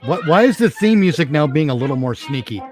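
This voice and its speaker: Male stream co-host, casual crude banter, animated higher voice, clear music underneath. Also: fast talker. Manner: crude joking. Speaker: livestream co-host. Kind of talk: casual riffing commentary.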